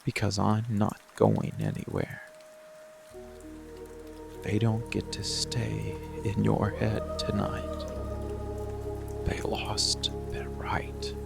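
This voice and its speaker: A man playing a possessive, protective monster lover in dark boyfriend ASMR, hushed and gravelly, often slipping into whispers. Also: lowish voice, level tone, full character voice. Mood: soothing, protective. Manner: hushed, breathy, slow soothing menace, often whispering. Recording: music and rain bed behind voice. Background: music, rain sounds.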